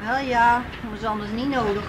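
Actress as fussing high-voiced wife. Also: female voice, steady tone, a little fast, close to an everyday voice. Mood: sceptical. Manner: homely scolding. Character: caring, fussing, sceptical beachcomber's wife. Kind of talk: 1960s radio play acting. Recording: noisy old radio play recording.

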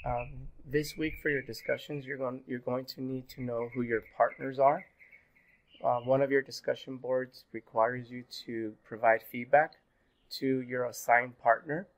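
A man in his thirties, a little sick and hoarse, giving a pre-recorded online class as instructor, relaxed and full of fillers. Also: mid-range voice. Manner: relaxed, filler-heavy, repeats "go ahead". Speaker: university instructor. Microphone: webcam in corner of screen recording.

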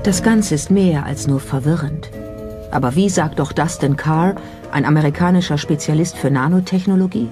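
Woman reading documentary script, lowish voice w music behind her. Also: moderate pace. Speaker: documentary narrator. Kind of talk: scripted narration.

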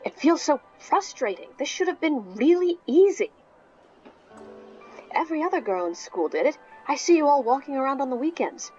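A woman's voice: high-pitched, very animated, normal speed, darkish tone, dull audio, faint music behind. An actress playing an earnest, self-doubting teenage magical girl in a visual novel game, with a hesitant manner. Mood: anxious, frustrated.

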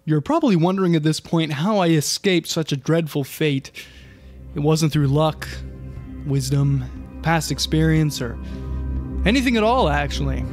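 Man playing a higher-pitched, incredulous TV reporter. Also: lively intonation, normal speed, a little mellow, soft background music, close to an everyday voice. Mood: wry resignation. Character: bewildered male TV reporter trapped in horror, wryly resigned. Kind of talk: darkly comic one-man audio theatre.

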